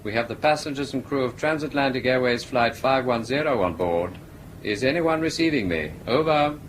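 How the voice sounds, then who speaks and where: An actor playing a matter-of-fact, precise ship's radio operator in a radio drama. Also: male voice, medium pitch, normal speed, a little hiss or hum, close to an everyday voice, rough recording. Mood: formal, measured. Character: businesslike radio operator among a ship's crew.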